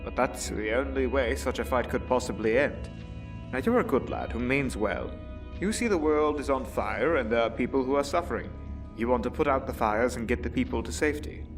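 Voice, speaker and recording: An actor playing a calm, retired older butler and mentor in an audio drama, formal, dry and measured. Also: male voice, mid-range voice, distant mic, music in the background, close to an everyday voice. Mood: grave, earnest.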